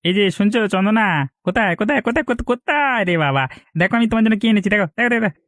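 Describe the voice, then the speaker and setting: A greedy, sly cartoon villager, voiced by a man, with a high voice and quick chatter in a dry recording. The bumbling husband wheedles, repeats himself and gets flustered easily. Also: lively intonation, fairly bright tone, no echo. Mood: excited, pleased. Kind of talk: Bengali cartoon voice acting.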